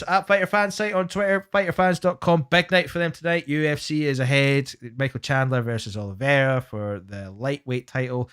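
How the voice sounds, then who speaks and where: Scottish guy cohosting a podcast, regular pitch, chatty, runs through the plugs. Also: a bit bright. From Scotland. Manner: chatty, plugs the show's channels. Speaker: podcast co-host. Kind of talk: casual podcast chat.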